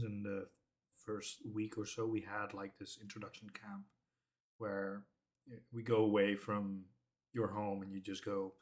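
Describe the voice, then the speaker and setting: A man with a low, rather flat voice chats on a casual podcast in English, his underneath. He wanders off on tangents and pauses to hunt for the right words. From Netherlands. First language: Dutch. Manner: tangential, laughs at himself, searches for words.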